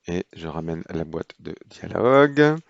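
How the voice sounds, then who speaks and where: A man calmly walking through a software procedure in a tutorial, pausing often with hesitations as he explains each step. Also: low-pitched, creaky, no room sound, lo-fi sound. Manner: unhurried, explanatory, frequent hesitations. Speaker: software trainer. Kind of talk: screen-recorded software tutorial.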